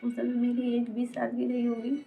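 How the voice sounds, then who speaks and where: Woman in her 20s doing a personal confessional vlog, kinda high voice, stops and starts and repeats herself, tinny phone sound. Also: monotone, vocal fry, slight background noise. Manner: halting, repetitive, trailing off mid-sentence. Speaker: vlogger. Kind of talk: personal confessional talk to camera.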